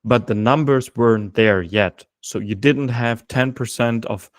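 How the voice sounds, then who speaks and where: A man's voice: mid-range voice, measured pace, no room sound, clean studio sound. A man hosting a podcast interview, who gives short acknowledgements and asks long, winding questions. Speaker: podcast host.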